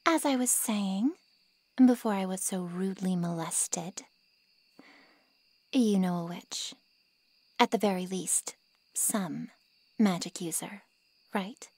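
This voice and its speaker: A woman playing a snide, taunting female monster in an ASMR roleplay, with a sarcastic drawl and a breathy, half-whispered voice. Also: mid-range voice, slow pace, pauses often, darkish tone, no room sound. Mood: smug, sly. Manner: sarcastic, insulting, teasing drawl.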